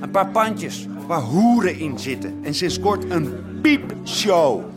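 An actor playing a sullen young student in a radio drama, terse and provocative. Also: male voice, fairly high pitch, sing-song, slow, music in the background. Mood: blunt, provocative. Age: young adult. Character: blunt, sullen young male sociology student, gangster's son.

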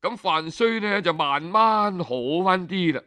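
Slow, high-voiced male storyteller. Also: bright, forceful tone, clean tone. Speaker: storyteller, audiobook narrator. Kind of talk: traditional Cantonese oral storytelling narration.

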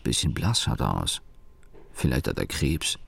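An actor playing an employee's sardonic inner voice, narrating a radio play in dry, clipped observations. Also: male voice, very deep voice, sing-song, a little slow, vocal fry, fairly bright tone, breathy edge, full character voice. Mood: dismayed, sardonic. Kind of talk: radio play narration, present-tense monologue.

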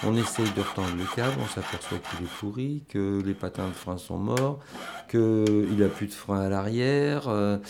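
A man's voice: lowish voice, noisy background. A man talking informally and hesitantly, in an anecdotal way, like a volunteer mechanic interviewed for an audio documentary. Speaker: volunteer bicycle mechanic at a community repair workshop.

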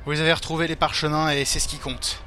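An actor playing a curt, condescending police prefect in an audio drama, pompous and authoritative. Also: male voice, fairly high pitch, little pitch movement, quick pace, bright, forceful tone, slight background noise. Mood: impatient. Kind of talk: French audio drama acting.

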